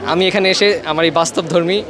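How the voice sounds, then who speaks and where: A man's voice: high-pitched, steady tone, rapid-fire. A young man with a Bangladeshi accent, a student giving a vox pop interview. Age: young adult. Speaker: student visitor. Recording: noisy crowded public space.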